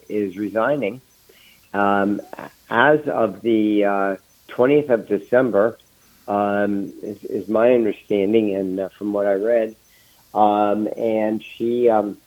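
An older American man chatting casually as a guest, with a halting, hesitant delivery. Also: medium pitch, darkish tone, poor audio. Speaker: guest, former mayor. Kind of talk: casual chat.

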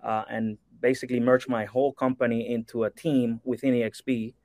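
A man's voice: medium pitch, little pitch movement, fairly quick, mellow, no room sound, clean studio sound. A man in his forties from Cuba, a real estate broker talking earnestly as a podcast guest, with frequent fillers and restarts. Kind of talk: podcast interview.

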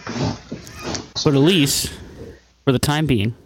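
A man's voice: mid-range voice, very slow, noisy background. A man co-hosting a podcast in laid-back casual chat with dry, joking remarks. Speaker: podcast co-host.

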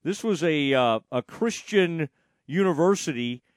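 A man hosts a radio show in a chatty way, asking loose, rambling questions. His voice is high for a man, and it sounds dry and close with no room around it. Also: expressive, a little slow, dry recording. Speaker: radio host. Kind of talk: casual radio chat.

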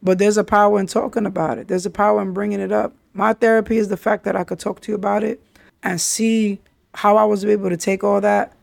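A man's voice: high voice, little pitch movement, fast, creaky, dry recording, studio quality. A man, hosting a podcast and telling his life story candidly in street slang, often saying "yo" and "you understand?". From New York City. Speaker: podcast host.

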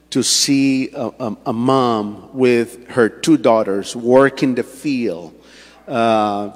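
Slow-speaking Colombian pastor in a church. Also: male voice, mid-range voice, big-room sound. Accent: Colombian Spanish. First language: Spanish. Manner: halting, with many fillers and restarts. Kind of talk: church sermon. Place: church sanctuary.

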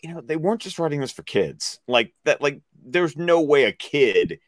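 A man co-hosting a casual discussion show, explaining references in an enthusiastic, nerdy, halting way. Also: on the high side, big pitch swings, no echo, studio quality. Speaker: co-host of animated series discussion show.

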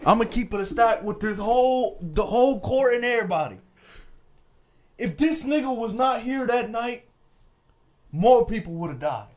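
A man's voice: very high voice, animated, normal speed, a little mellow. A young man in casual, crude banter, joking and laughing often.

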